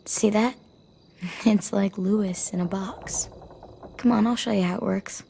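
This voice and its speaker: A woman's voice: mid-range voice, fairly even intonation, slow pace, creaky, warm tone. A woman voicing a friendly young child in a video game, explaining things simply and helpfully. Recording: faint noise, insects chirping.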